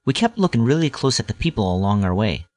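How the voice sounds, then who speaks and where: A man reading scripted narration in a measured storytelling manner. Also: medium pitch, big pitch swings, darkish tone, dry recording. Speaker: narrator and writer. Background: music bed.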